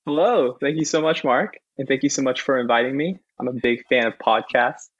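Young male student guest, rapid-fire and joking, muffled dry line. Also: mid-range voice, husky, no room sound. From California, USA. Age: young adult. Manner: joking, quick. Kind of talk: podcast interview.

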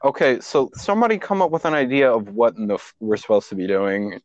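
A man's voice: medium pitch, big pitch swings, fast, no room sound. Here is a man with a mid-range voice on a muffled, dry computer mic with no room sound. He sounds worn out and exasperated, and he keeps pushing a chaotic group to get back to the task. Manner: exasperated, weary, tries to steer the talk. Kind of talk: casual group chat. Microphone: computer mic on a voice call.

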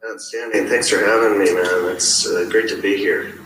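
A man with a raspy voice, a guest talking casually in an easygoing, upbeat way. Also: on the low side, fairly even intonation, moderate pace, crowd noise. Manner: easygoing, upbeat, many "uh" fillers. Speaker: guest, affiliate marketing coach. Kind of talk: casual interview talk. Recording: roomy echo, faint background hiss.

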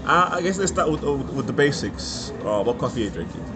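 A man's voice: medium pitch, big pitch swings, fairly quick. A man hosting a casual interview, asking questions while stumbling and repeating words. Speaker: host. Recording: busy crowd noise behind.

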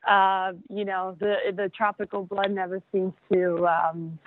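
A woman, answering interview questions casually with many ums and frequent soft laughs. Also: mid-range voice, flat delivery, a little slow, a little hiss or hum. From Venezuela. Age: 20s. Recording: tinny phone-like line, dry.

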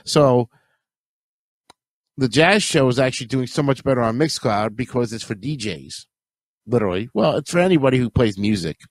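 A man's voice: mid-range voice, lively intonation, moderate pace, dry recording. A young man, hosting a casual solo podcast, sounding nasal from a cold and rambling chattily with self-corrections as he goes. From Queens, New York. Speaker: podcast host.

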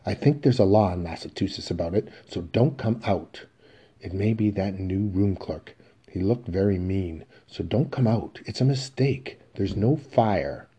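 A man's voice: low-pitched, a little slow, dark tone, husky. A man voicing an anxious middle-aged salesman and father in a play reading, blustering and flustered. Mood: nervous.

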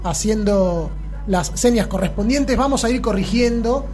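A woman hosting a radio show from the studio, introducing a guest and keeping the talk lively with jokes. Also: medium pitch, normal speed, faint music behind. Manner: lively, joking, announcer-like introductions.

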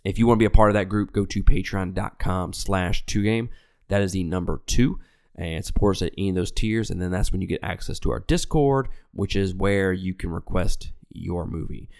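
Low-voiced, congested male podcast host. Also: normal speed, vocal fry, darkish tone. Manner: rambling, self-correcting, frequent restarts; loose, profane, conversational. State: nasal and congested from illness. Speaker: podcast host reviewing movies. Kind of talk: casual chat.

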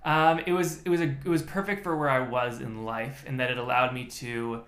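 Fast-talking man, echoey room. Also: medium pitch, steady tone, fairly bright tone. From United States. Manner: fast, methodical storyteller with frequent restarts. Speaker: podcast guest. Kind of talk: casual interview chat.

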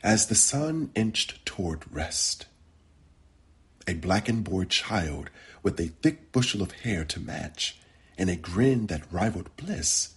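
Deep, very husky, slow-speaking man. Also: sing-song. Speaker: guest storyteller. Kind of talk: measured storytelling.

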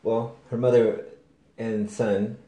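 A man's voice: mid-range voice, little pitch movement, slow pace, warm tone. A man co-hosting a podcast in a casual, laid-back, slangy way, speaking English as a second language. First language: not English. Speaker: podcast co-host. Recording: echoey room with noticeable noise.

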